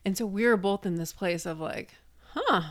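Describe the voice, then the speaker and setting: A woman's voice: medium pitch, brisk. A woman co-hosting a podcast, telling personal stories casually in an earnest, emphatic way. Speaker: podcast co-host. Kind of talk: casual conversational storytelling.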